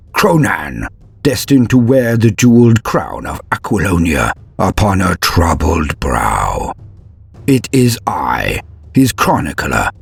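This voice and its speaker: Gravelly, theatrical male storyteller, deep and husky. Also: no echo. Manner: theatrical, grandiose, with wry asides. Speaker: narrator, storyteller. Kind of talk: dramatic scripted fan fiction narration, mock-epic.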